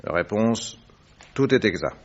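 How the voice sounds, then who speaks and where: An actor playing a composed, shrewd detective with an incisive, courteous manner in a French radio drama. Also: male voice, on the low side, expressive, takes it slowly. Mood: measured, businesslike. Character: composed, shrewd male detective, probing and politely ironic. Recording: dull, muffled vintage radio recording.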